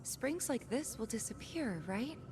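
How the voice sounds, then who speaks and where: An earnest teenage village girl with a fairly high voice. She is plainspoken, hesitant yet stubborn, in game cutscene style. Loud noisy ambience surrounds her. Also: expressive, unhurried. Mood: earnest, defensive. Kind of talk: dramatic game cutscene acting.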